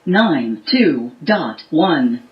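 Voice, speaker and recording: A woman's voice: sits low, very animated, extremely slow. A woman's automated voice assistant giving short status announcements. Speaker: voice assistant of a car radio. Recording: dull, echoey speaker playback under loud music.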